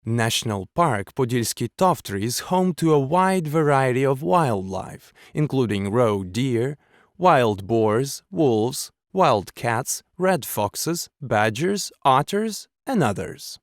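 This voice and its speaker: A man reading scripted narration in a measured, clearly enunciated documentary manner. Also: medium pitch, lively intonation, dry recording, clean studio sound. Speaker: voice actor.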